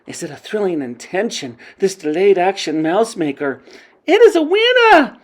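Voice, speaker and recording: A woman voicing a frenzied crowd of female witches, screaming in high, shrill voices. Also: animated, normal speed, mellow.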